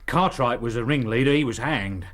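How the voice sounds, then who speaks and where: An actor playing a polite but stubborn, sceptical British police inspector in a radio drama, plodding and deferential in manner. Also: male voice, mid-range voice, normal speed, fairly bright tone, lightly acted. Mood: recollecting. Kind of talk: BBC radio drama acting.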